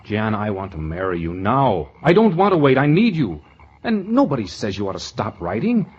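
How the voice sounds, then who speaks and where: An actor playing an earnest, stubborn small-town young suitor in a 1940s radio drama, pleading and doubtful. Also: male voice, lowish voice, wildly swooping pitch, moderate pace, a little mellow, husky, full character voice. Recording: muffled, faintly noisy 1940s radio recording.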